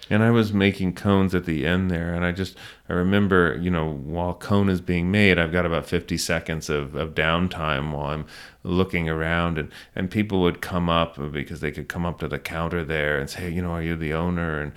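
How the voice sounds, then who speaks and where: A middle-aged man speaking as an interview guest, telling a story conversationally and haltingly, often acting out his own thoughts. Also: low-pitched, fairly even intonation, clean tone. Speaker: interview guest, small business owner.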